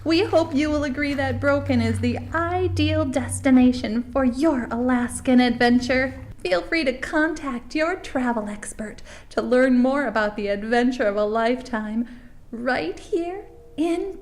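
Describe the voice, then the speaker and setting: A woman's voice: high voice, unhurried, a little hiss or hum. A woman playing a chirpy, confiding small-town tour guide narrating a comic mockumentary. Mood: upbeat, promotional. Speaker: documentary presenter.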